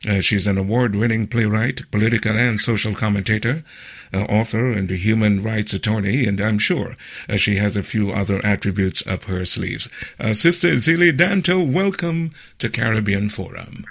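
A man with a fairly low voice hosts a radio talk show. He introduces his guest and asks questions at an unhurried, measured pace, filling his pauses with "uh" along the way. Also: creaky, fairly bright tone. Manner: measured, with frequent "uh" fillers. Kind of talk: radio interview.

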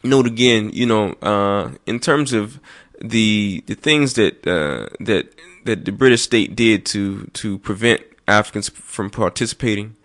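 A man hosting a call-in radio talk show, speaking with frequent pauses and restarts, slowing down to read out phone numbers. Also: lowish voice, a bit bright. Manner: many fillers and restarts, slow over numbers. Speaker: radio host.

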